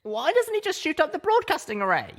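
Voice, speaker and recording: A woman playing an earnest, careful young unicorn mare in an audiobook, with a husky, creaky voice. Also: sits high, wildly swooping pitch, brisk, heavily acted. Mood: curious, musing.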